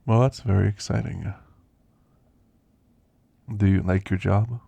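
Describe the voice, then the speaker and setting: A man playing a kindly elderly werewolf in an ASMR roleplay, with a gravelly voice and a folksy, gently chatty manner. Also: low-pitched, steady tone, takes it slowly, dry recording, lo-fi sound, exaggerated voice. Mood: gentle, friendly. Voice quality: gravelly, creaky. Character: kindly, frail elderly male werewolf, teasing and grandfatherly. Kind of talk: ASMR roleplay, intimate character monologue.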